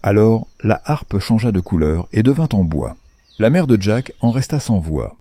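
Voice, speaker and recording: Low, dark, slightly husky male storyteller. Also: very animated, moderate pace, dry recording. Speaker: storyteller, narrator. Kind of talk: scripted children's fairy tale narration.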